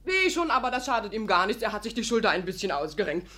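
An actress playing a cheeky, gossipy young maidservant in a radio comedy, eager and teasing. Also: female voice, mid-range voice, very animated, fast, sharp tone. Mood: gleeful, excited. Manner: breathlessly eager, giggly, teasing. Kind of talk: animated radio comedy storytelling.

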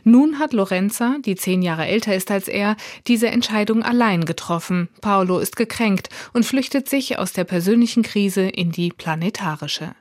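A woman's voice: mid-range voice, normal speed, hardly any pauses, a little mellow, no room sound, studio quality. A woman reading a scripted radio book review as a critic.

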